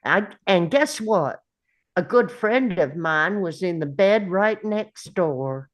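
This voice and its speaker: A woman playing a slow, simple, earnest young Southern soldier man in a script table read, speaking plainly. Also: fairly low pitch, lively intonation. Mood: earnest narration.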